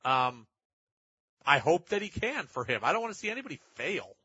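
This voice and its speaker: Man, sports radio host, higher-pitched husky voice, opinionated, emphatic, dull dry studio sound. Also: sing-song, fairly quick, pushed and bright, no echo. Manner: opinionated, emphatic, repeats points for effect. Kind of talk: sports talk radio commentary, reading listener texts.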